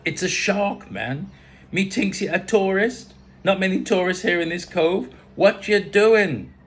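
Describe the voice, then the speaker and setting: A man voicing a friendly, scolding Caribbean islander in a storybook reading, with a put-on Caribbean accent and a loud, blunt, emphatic way of talking. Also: high-pitched, unhurried, voice pushed up. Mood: blunt, curious. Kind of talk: storybook character voice in a memoir reading.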